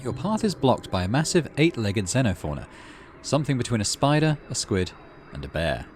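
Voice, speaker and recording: A man's voice: medium pitch, sing-song, fairly quick, a little hiss or hum. A man hosting a gaming video, mixing casual commentary with reading the game's narration aloud. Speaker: gaming channel host.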